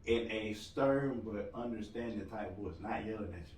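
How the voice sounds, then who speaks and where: Loud married guy on a podcast, rambly and jokey, sounds far from the mic in an echoey room. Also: on the low side, normal speed. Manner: loud-voiced, joking and rambling. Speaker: podcast co-host. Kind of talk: casual podcast chat. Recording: roomy room, mic at a distance.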